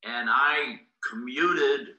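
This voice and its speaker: An elderly man from Boston, a native Greek speaker, tells his life history at a very unhurried pace. His voice is strikingly sharp and forceful, with formal, halting phrasing. The room around him rings with echo. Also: medium pitch, dull audio. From Boston, United States. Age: 90s. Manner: deliberate, halting, formal storytelling with long pauses. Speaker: interviewee, war veteran. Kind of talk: recounting life history in an interview. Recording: echoey room.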